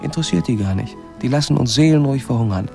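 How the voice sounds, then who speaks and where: An actor playing a chatty, easygoing, wry owner of a space colony, rambling colloquially in a radio play. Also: male voice, mid-range voice, animated, fast talker, vocal fry, over music. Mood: indignant, resigned. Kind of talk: radio play acting, conversational monologue.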